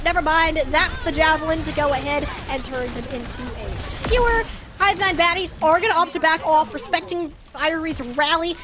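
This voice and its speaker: A woman doing live play-by-play commentary of a video game match, in a playful, colloquial, exclamatory way. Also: very high-pitched. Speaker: esports caster.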